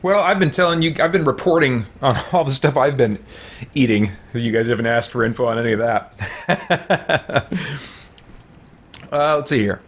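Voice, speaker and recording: A man's voice: mid-range voice, lively intonation, moderate pace, pauses often. A man hosting a roleplay let's play, talking casually with playful, theatrical asides. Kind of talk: casual roleplay game commentary.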